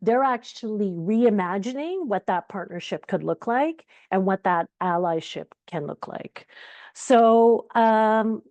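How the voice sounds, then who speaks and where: A middle-aged woman from Uganda who grew up in Canada, giving an energetic, conversational keynote talk over a video call. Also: medium pitch, takes it slowly, no room sound. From Uganda, raised in Canada. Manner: energetic, conversational, many fillers. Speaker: conference keynote speaker. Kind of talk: virtual conference talk.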